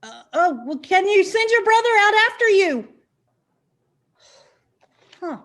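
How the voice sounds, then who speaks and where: A woman's voice: extremely high pitch, big pitch swings, unhurried, a bit bright, full character voice. A woman playing a polite, awkward interviewer in a comedic mystery, hesitant and apologetic. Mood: hesitant, coaxing. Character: polite, awkward, nervous female interviewer investigating a disappearance. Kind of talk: comedic mystery acting in an online play.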